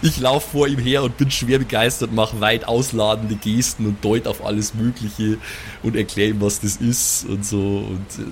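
A man's voice: on the low side, little pitch movement, normal speed, slight background noise. A man improvising his character in a tabletop role-playing game, talking in an enthusiastic, teasing way.

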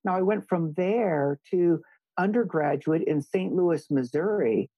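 A man's voice: fairly high pitch, measured pace, mellow, husky, no echo. A man from Hawaii, a law scholar and guest, answering interview questions earnestly and emphatically, often restarting phrases as he thinks, over a video call.

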